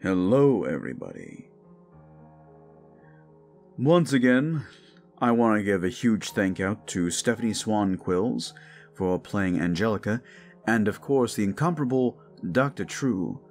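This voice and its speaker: A man giving an informal, rambling outro to his listeners as the creator of an audio drama. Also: on the low side. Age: adult with young child. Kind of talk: casual outro chat to listeners.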